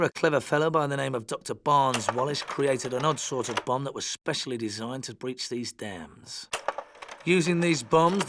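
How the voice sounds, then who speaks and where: An actor playing a commando officer in a war game, giving a brisk, matter-of-fact mission briefing. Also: male voice, mid-range voice, noisy background, lightly acted. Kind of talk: game cutscene voice acting.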